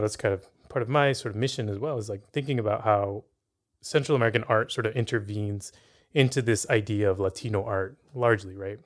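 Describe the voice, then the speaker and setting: A man from San Francisco hosting an interview, posing a long, thoughtful question full of fillers and rephrasings. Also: lowish voice. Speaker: interviewer, podcast host.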